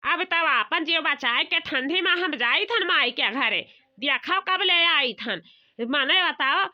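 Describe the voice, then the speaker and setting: A woman's voice: very high voice, highs cut off. A woman playing a bossy, fretful village housewife in a comedy cartoon, chatty, scolding and repetitive, with an Awadhi accent.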